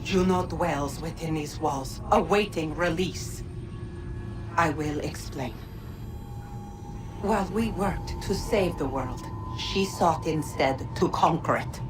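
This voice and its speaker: An actress playing a wise, ancient godlike woman in a video game, solemn and pleading in formal, measured words. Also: low voice, over music, poor audio. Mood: solemn explanation. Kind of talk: dramatic video game acting.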